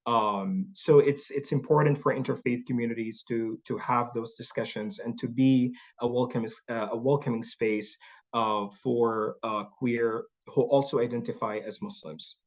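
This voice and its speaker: A young man from Saudi Arabia giving a thoughtful talk as a guest speaker on an online panel, pausing often with fillers and repeating words as he works through his points. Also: medium pitch, steady tone.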